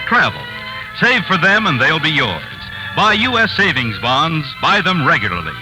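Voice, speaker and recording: A man's voice: on the high side, big pitch swings, moderate pace, rough recording. An American man announcing from a script in the style of 1940s network radio. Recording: vintage 1940s radio broadcast. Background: music.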